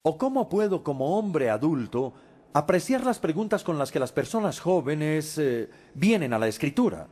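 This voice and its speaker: A man giving a lecture as a Spanish voice-over, measured, with frequent pauses and hesitations. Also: on the high side, big pitch swings, darkish tone.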